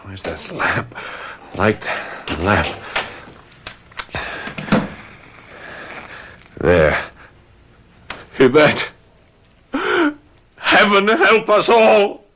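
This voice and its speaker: An actor playing a distraught young French gendarme in a radio mystery drama, stammering and agitated in a melodramatic way. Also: male voice, a little high, huge pitch swings, extremely slow, long pauses, bright, forceful tone, full character voice. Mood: panicked, in pain. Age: young adult.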